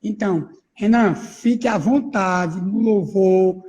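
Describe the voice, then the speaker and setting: A man's voice: high voice, takes it slowly, a little mellow, a little hiss or hum. A middle-aged man hosting a church livestream, affirming his guest with frequent "amém" and "verdade" in casual talk. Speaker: host pastor.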